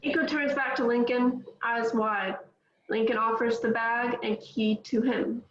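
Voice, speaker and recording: Woman narrating a script table read; slightly high voice, roomy sound. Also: fairly even intonation, measured pace, highs cut off, a little hiss or hum. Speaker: narrator reading stage directions.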